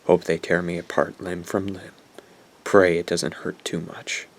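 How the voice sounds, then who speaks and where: A man's voice: sits low, level tone, measured pace, creaky, heavily acted. A man playing a bitter, guilt-ridden young man who narrates a horror radio drama in a brooding, self-loathing way. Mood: grim, resigned.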